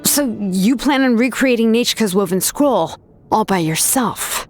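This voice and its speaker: An actress playing a sharp, laid-back young woman in a video game cutscene, with a relaxed, casual voice. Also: a little high, unhurried, soft background music. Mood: probing.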